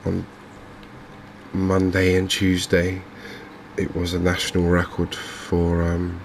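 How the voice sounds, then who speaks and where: A man with an English accent giving soft-spoken, hesitant, unhurried gameplay commentary in an ASMR style. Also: deep voice. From England. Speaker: gaming video host. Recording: clear steady background noise.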